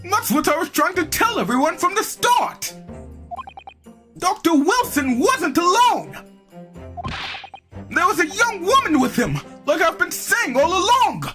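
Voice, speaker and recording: A woman's voice: very high voice, a little slow, pauses often, pitched above the performer's own voice, full character voice. A woman reading a flustered young defense lawyer. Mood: excited protest. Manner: excitable, flustered, protesting. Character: young male law student turned nervous defense lawyer. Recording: game music behind.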